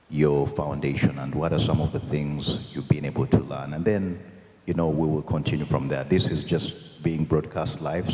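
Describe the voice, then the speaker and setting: A man hosting a live event, introducing a guest in a halting way with many fillers. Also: very deep voice, warm tone. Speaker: event host. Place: convention hall. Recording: echoey hall reverb.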